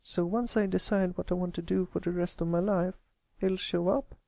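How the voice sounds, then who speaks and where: A man's voice: sits high, steady tone, normal speed, creaky, a little mellow, no echo, pitched above the performer's own voice. A man voicing a wistful young female cartoon pony with a plaintive, questioning manner in a storybook reading. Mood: plaintive, worried. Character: wistful young filly cartoon pony, anxious about growing up.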